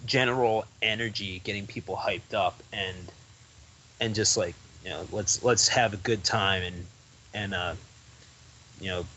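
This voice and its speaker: A man's voice: lowish voice, steady tone, a little slow, sharp tone, slight background noise, poor audio. Rambling young man, casual chat. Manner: rambling, full of "like" and restarts. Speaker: podcast guest.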